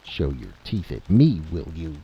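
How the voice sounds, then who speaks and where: A man voicing a gruff, slangy and stubbornly confident adventurer in a story reading. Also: a bass voice, big pitch swings, a little slow, muffled recording, slight background noise, full character voice. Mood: playful scolding.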